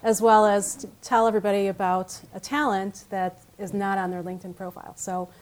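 A woman whose first language is Italian, presenting at a conference in a conversational way, with frequent pauses and restarts. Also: mid-range voice, little pitch movement, poor audio. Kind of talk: conference talk. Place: conference room with audience.

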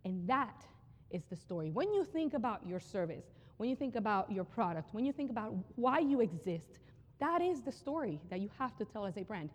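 A woman giving a live conference talk on brand storytelling, energetic and conversational, often repeating phrases for emphasis. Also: mid-range voice, brisk. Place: large hall with an audience. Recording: long hall reverb.

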